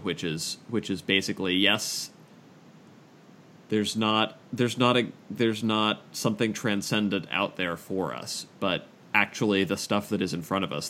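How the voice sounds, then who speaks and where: A man hosting a podcast in casual discussion, halting and self-deprecating, repeating phrases as he works out his thought. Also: low-pitched, sharp tone, slight background noise. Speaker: podcast host.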